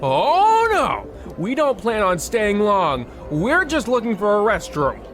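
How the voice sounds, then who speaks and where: A man's voice: high voice, huge pitch swings, takes it slowly, a bit bright, faint music behind, heavily acted. An actor playing a stingy, pompous businessman husband who stammers with indignation, in an old-time radio comedy. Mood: indignant.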